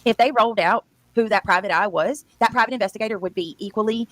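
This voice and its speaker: Fast-talking woman in casual panel chat. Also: medium pitch, fairly bright tone, no echo. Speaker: online panelist.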